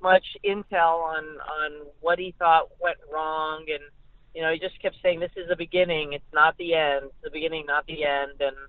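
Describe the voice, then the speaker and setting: A woman with an American accent giving conversational sports analysis as a guest reporter, haltingly and often repeating words. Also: deep voice, fairly even intonation, dry recording. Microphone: telephone. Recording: tinny, narrow phone line.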